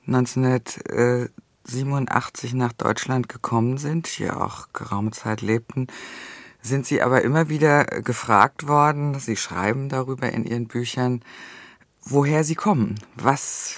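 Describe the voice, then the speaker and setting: A man interviewing a guest, asking formal, measured questions. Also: mid-range voice, creaky, dry recording.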